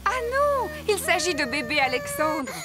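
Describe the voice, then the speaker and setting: A woman voicing a brisk, sensible mother pig in a children's cartoon, with a questioning, matter-of-fact lilt. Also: sits high, big pitch swings, heavy background noise.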